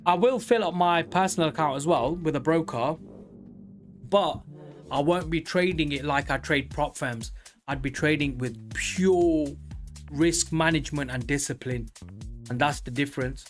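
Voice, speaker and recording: A man of forty talking casually and candidly on a live trading stream, rambling with many pauses. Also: on the high side. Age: 40s. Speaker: livestream day trader.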